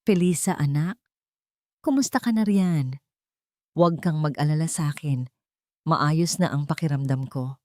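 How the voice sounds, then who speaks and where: A woman's voice: low voice, a little slow, pauses often, dark tone, no echo, clean studio sound. A woman voicing a kind, grateful elderly mother who reassures her child, in an audiobook drama. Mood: tender gratitude. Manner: reassuring, tender.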